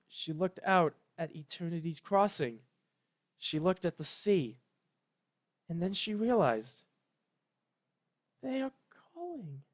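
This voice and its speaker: Guy reading a story out loud, real slow, solemn n emotional, dramatic narrator style. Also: on the high side. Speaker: fan fiction narrator.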